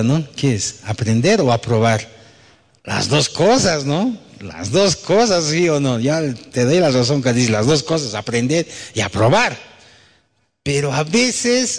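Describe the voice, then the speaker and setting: A man, a pastor, preaching conversationally with anecdotes, often putting rhetorical questions and little tag questions to his listeners. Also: mid-range voice. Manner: acts out quoted dialogue. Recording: echoey hall with long reverb.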